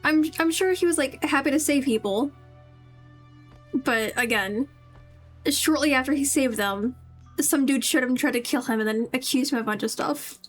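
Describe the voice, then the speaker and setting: A woman's voice: high voice, normal speed, faint music behind. A woman streaming a game, giving casual live commentary in an easy chatty style.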